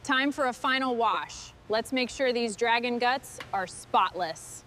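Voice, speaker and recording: Higher-voiced woman over workshop noise. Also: measured pace. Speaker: mechanic narrating restoration work. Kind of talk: short hands-on work commentary.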